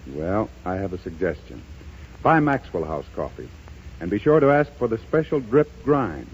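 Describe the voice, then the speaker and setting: A man with an American accent delivers a vintage 1930s radio sales pitch. His voice is husky and his delivery swings expressively with earnest emphasis. The sound is dull, old broadcast audio. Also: very animated, moderate pace, darkish tone. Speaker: radio advertisement announcer.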